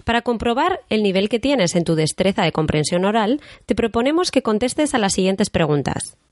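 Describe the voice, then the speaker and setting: A woman from Spain with a Madrid accent, hosting a podcast interview and speaking slowly and clearly for learners. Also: medium pitch, lively intonation, no echo, clean studio sound. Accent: Madrid Spanish. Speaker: podcast host and Spanish teacher.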